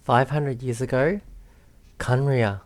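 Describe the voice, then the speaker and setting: A woman's voice: very deep voice, fairly even intonation, slow pace, a little mellow. A woman giving casual, chatty let's play commentary as a gaming streamer, with an easygoing manner. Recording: game music behind the voice.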